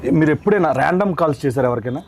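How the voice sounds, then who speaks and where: A man's voice: on the high side, expressive, rapid-fire, a little hiss or hum. A man interviewing in a playful, coaxing way, keeping up a casual chat-show banter.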